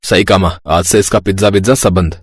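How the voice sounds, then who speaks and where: A man voices the husband, father and doctor of a cartoon family story. His voice sits low and the recording is dry and close. He talks in a plain, steady way. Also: fast, breathy edge, dry recording. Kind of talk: cartoon dialogue acting.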